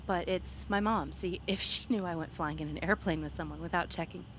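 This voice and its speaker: A woman's voice: on the low side, voice pushed down, natural voice. Woman acting a playful gushy young woman on a date, asmr roleplay, chatty, some noise behind her. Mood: curious, teasing. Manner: gushing, teasing, halting conversational delivery. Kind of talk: ASMR roleplay acting, one side of a dialogue.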